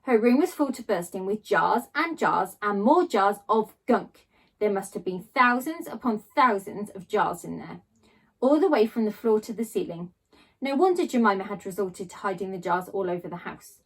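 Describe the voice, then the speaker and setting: Woman reading a kids book out loud, mid pitched voice, really dramatic with pauses and stretched words. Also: expressive, slightly rough, poor audio. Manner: theatrical, with dramatic pauses and drawn-out emphasis. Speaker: storyteller reading a children's book aloud. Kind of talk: expressive children's storybook narration.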